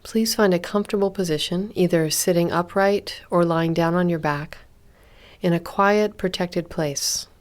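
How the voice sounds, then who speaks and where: Female meditation instructor, slightly low voice, calm and gentle, unhurried phrasing with long pauses. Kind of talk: guided body scan meditation.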